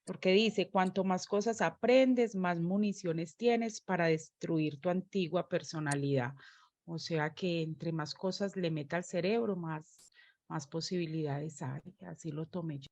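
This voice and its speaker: A woman's voice: on the low side, unhurried, a little mellow, no echo. A woman in a reading group reading a favourite passage aloud and reflecting thoughtfully on it. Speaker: reading club participant.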